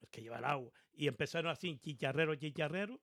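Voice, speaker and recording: A man with a fairly high voice and a Canary Islands accent, in a dry, close recording. He chats colloquially, tells anecdotes with quoted dialogue and has a teasing way about him. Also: quick pace, a bit bright, no room sound. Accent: Canary Islands Spanish. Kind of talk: casual anecdotal chat.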